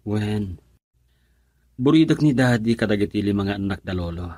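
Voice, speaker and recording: A man's voice: on the low side, animated, moderate pace, darkish tone, no room sound, clean studio sound. A young man playing a devoted, reassuring boyfriend in an Ilocano radio drama. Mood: tender.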